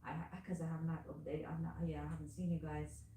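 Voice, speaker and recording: A woman's voice: deep voice, fast, distant mic, slight background noise. A middle-aged woman with a strong Caribbean English accent chatting with a client in her nail salon, telling stories with lots of acted-out voices. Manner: animated storyteller, acts out quoted voices. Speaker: nail shop owner. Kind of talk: casual chat while working. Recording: echoey room, mic set back.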